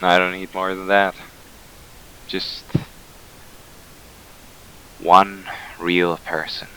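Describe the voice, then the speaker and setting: A man playing a haunted facility worker, giving a measured, brooding confession in an analog horror drama. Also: sits low, level tone, bright, forceful tone, smooth, heavily acted, low-quality recording. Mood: somber, resigned. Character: somber, haunted male facility worker confiding. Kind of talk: scripted horror monologue.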